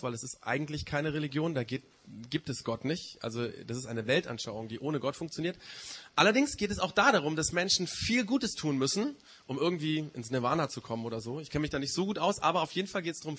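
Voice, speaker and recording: Male preacher, higher-pitched and husky with a mild cold; informal, explanatory sermon style that restates points. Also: a bit bright. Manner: explanatory, often rephrasing and repeating key points. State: slight head cold. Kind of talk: informal sermon to a church community.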